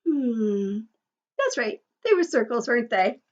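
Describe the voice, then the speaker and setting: A woman's voice: a little high, big pitch swings, measured pace, darkish tone. A woman teacher reading a picture book aloud to young children, encouraging them with questions and sing-song asides, on a slightly dull recording.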